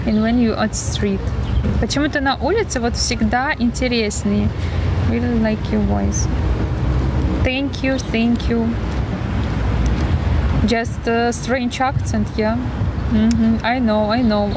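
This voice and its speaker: A young woman chats into a phone held close, mixing halting English with her native Russian as she greets viewers. Her voice sits a little high. The street around her is loud with traffic and wind. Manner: chatty, switching between broken English and Russian, thanking viewers. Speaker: livestreamer. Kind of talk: casual livestream chat greeting viewers. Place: outdoors on a windy street. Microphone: phone held close to the face.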